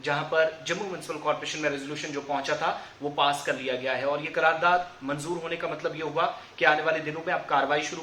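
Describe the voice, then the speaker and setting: A man's voice: a little high, bright, forceful tone, echoey room, slight background noise. A man presenting the news in a semi-improvised explainer, wordily rephrasing his points as he goes.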